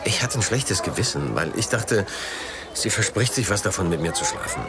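An actor playing a guilty, self-justifying man in a naturalistic radio crime drama, confessing in a halting, defensive way. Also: on the low side, heavy background noise, lightly acted.

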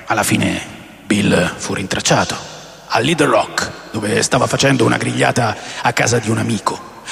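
An aging rock rebel in a radio drama, looking back on a wild life. His medium-pitched voice is full of gravel and creak. He tells it deliberately, with theatrical pauses, in a long reverb with music around him. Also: a bit bright, full character voice. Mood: wry, reflective. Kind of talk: dramatic first-person memoir narration.

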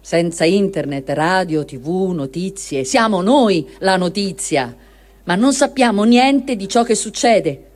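Woman giving a measured dramatic reading. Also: fairly low pitch, expressive, a little mellow. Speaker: reader of written testimony. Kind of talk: dramatic reading of a first-person war diary.

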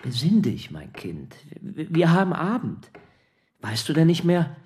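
A man's voice: a little high, huge pitch swings, a little slow, darkish tone. An actor playing an agitated, exasperated father in a radio drama, emotional and given to repeating himself. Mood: anxious coaxing. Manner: emotional, repetitive.

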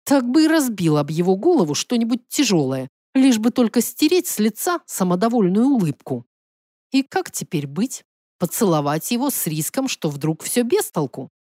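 A woman narrating first-person fiction as an audiobook reader, in an expressive, dramatic and emotive manner. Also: mid-range voice, moderate pace, no room sound, clean studio sound.

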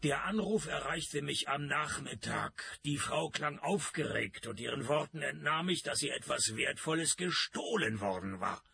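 An actor playing a dry, sarcastic private detective in a radio play, speaking in a deadpan, ironic way. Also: male voice, a little high, moderate pace, creaky, few pauses, full character voice. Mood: wry narrating. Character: dry, sarcastic male private detective, unimpressed and quick-witted. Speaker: lead detective and narrator. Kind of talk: radio play dialogue and first-person narration.

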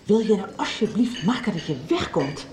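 An actress plays a protective, resolute woman in a radio soap. Her delivery is firm and pointed with a lively, moving pitch and a sardonic edge, while a crowd chatters behind her. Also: moderate pace, roomy sound, close to an everyday voice. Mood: cutting, determined. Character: resolute woman facing down a blackmailer, protective, cutting.

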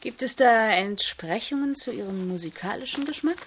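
A woman's voice: a little high, lively intonation, moderate pace, slight background noise, lightly acted. Actress as persistent, probing female journalist. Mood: probing, sceptical. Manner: matter-of-fact, pointed, articulate. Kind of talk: German radio drama acting.

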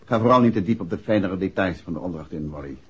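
An actor playing a composed, authoritative gentleman detective in a 1960s radio drama, speaking in a measured way. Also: deep voice, lively intonation, breathy edge, exaggerated voice. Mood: measured, businesslike. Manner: measured, deliberate. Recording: muffled old 1960s radio recording.

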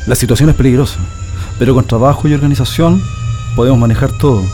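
A man's voice: medium pitch, quick pace, dark tone, close to an everyday voice. An actor with a Chilean accent playing a defiant male political prisoner in a radio drama, earnest and emphatic. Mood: resolute, confiding. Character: defiant male political prisoner under a dictatorship, grieving and organizing resistance. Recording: music bed under the voice.

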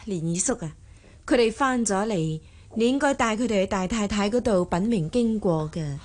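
An actress playing a tactful, admonishing senior lady of the household in a Cantonese radio drama, measured and advising. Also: mid-range voice, lively intonation, close to an everyday voice.

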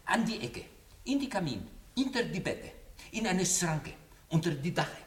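A man's voice: high voice, very animated, normal speed, roomy sound, far from the mic. An actor playing a scheming Italian intriguer who offers his spying services in broken speech with an Italian accent, in a 1960s radio drama. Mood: wheedling. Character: scheming Italian male intriguer, fawning spy for hire.

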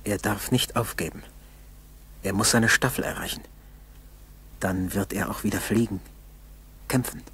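An actor playing a solemn narrator who gives terse present-tense commentary in a 1960s German radio play. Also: male voice, on the low side, fairly even intonation, a little slow, long pauses, fairly bright tone, slight background noise. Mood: urgent. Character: grave male narrator urgently observing a struggling man.

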